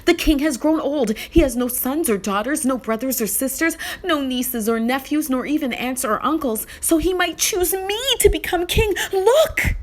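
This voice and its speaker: A woman acts out an eager young boy from a storybook. The voice is high and earnest, full of excitable hope, like a poor child with big dreams. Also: moderate pace, few pauses. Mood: excited.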